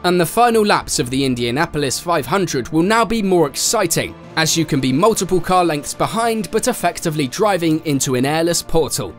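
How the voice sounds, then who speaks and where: Sarcastic male explainer narrator, lively pitch. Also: brisk, hardly any pauses. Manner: sarcastic, playful asides. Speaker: narrator of a motorsport explainer channel. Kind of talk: scripted voice-over narration. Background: faint background music.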